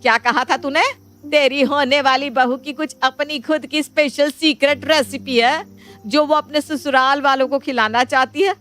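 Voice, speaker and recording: A woman's voice: high-pitched, fairly even intonation, moderate pace, a bit bright. A woman voicing an emphatic, theatrical middle-aged Indian mother-in-law in a Hindi cartoon moral story. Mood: scolding, exasperated. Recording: music bed behind the voice.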